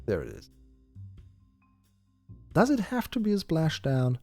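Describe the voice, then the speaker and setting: A man's voice: on the high side, huge pitch swings, a little slow, darkish tone, soft background music. A man whose first language is German, chatting through a live game stream and thinking aloud with many fillers and restarts. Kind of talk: live-stream gameplay chat.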